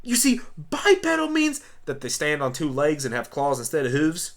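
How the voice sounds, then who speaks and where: Radio-play lead: male actor as a polite, rambling alien doctor, lonely and whimsical, with lively pitch movement. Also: moderate pace. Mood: brisk, whimsical. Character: apologetic alien time-traveling doctor, lonely yet whimsical man. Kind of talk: amateur radio play acting.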